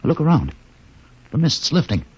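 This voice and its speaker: An actor playing a cynical, detached scientist in a 1950s radio drama, with a measured, brooding, sardonic delivery and a husky voice. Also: male voice, on the high side, wildly swooping pitch, exaggerated voice. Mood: dryly curious. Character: Doctor Horst, cynical, detached scientist on a space expedition. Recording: dull 1950s radio recording.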